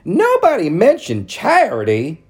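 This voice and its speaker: A man voicing a stern, pushy middle-aged townswoman who lectures in a high falsetto. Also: huge pitch swings, a little slow, full character voice. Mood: stern lecturing.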